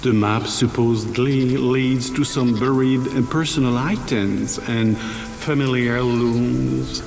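An actor playing a formal gentleman pleading for help in a video game, theatrical and halting. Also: mid-range voice, fairly even intonation, creaky, soft background music. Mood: formal, imploring. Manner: theatrical, over-exaggerated, halting. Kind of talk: video game character dialogue. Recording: echoey reverberant game audio, background ambience.

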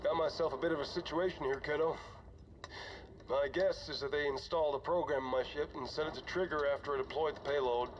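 A man's voice: on the high side, fairly even intonation, natural voice. Man acting weary starship commander, muffled noisy log. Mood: resigned, rueful. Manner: conversational, halting, sighing. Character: weary older male starship commander, jittery, remorseful. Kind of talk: dramatic audio log acting. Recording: dull, noisy cockpit log recording.

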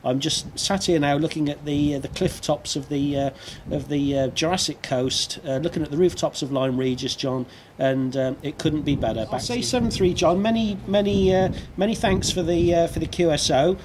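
Casting: British male radio hobbyist, fairly high-pitched, hesitant and chatty, recorded outside with some background noise. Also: moderate pace, a little mellow. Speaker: amateur radio operator. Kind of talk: casual radio contact and outdoor video commentary. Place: outdoors on a seaside rooftop. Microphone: video camera near the speaker. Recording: outdoor rooftop with clear background noise.

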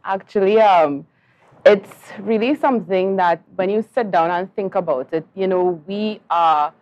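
A young Trinidadian woman engineer giving a composed, measured account as a guest in a TV studio interview. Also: medium pitch, dull audio.

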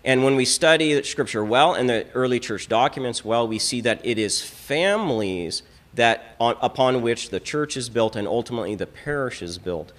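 Emphatic middle-aged priest at a lectern. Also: male voice, medium pitch, expressive, normal speed. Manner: emphatic, repeats words as he builds a point. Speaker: Orthodox priest. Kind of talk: teaching talk at a lectern. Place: small church hall, indoors. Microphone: stand mic on lectern, filmed from a fixed camera.

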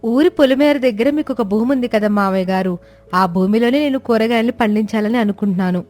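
A woman voicing a caring, determined young daughter-in-law in an animated story, earnest and respectful. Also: a little high, fairly quick, soft background music, natural voice.

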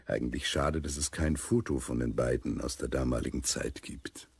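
A male narrator with an extremely low, rough voice, recorded close and dry. He tells a children's adventure story with amusement, speaking to young listeners. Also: a little slow, no room sound, exaggerated voice. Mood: amused storytelling. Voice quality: gravelly, husky. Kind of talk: scripted narration of a children's audio drama.

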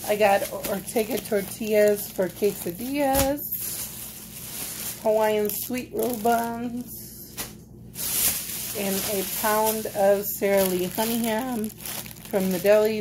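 A young woman talks at an unhurried, slow pace in a casual haul-style vlog. Her pitch sits in an average range for a woman. It is a phone recording made indoors at home, with clearly audible noise. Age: young adult. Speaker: vlogger. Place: small indoor home kitchen. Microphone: phone close at arm's length.

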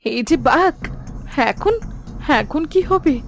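A woman playing a devoted, gentle royal heroine in an animated fairy tale, speaking earnestly and with emotion. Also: very high-pitched, slow, vocal fry, music in the background, heavily acted. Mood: terrified.

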